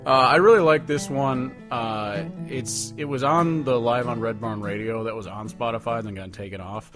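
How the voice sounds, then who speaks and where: A man's voice: medium pitch, moderate pace, over music. A man co-hosting a podcast, chatting casually about songs in a rambling, profane way. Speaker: podcast co-host reviewing songs. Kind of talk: casual chat.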